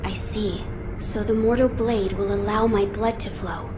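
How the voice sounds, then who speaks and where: Actress as a young game lordling, earnest and courteous. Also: female voice, medium pitch, fairly even intonation, measured pace, background noise, natural voice. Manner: polite and earnest. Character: earnest young boy lord. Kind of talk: video game cutscene acting.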